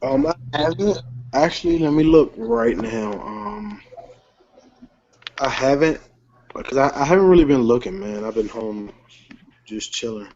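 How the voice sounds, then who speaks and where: A man's voice: a little high, big pitch swings, measured pace, gravelly, long pauses, darkish tone. A man chatting loosely from home on an online voice chat, slangy and swearing casually. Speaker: chat participant. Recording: lo-fi voice chat audio with faint noise.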